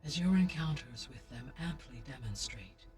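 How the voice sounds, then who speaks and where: A man voicing a calm, formal AI. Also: mid-range voice, recorded from a distance, faint music behind, lightly acted. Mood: measured. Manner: precise, formal, unhurried. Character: calm artificial intelligence, measured and formal.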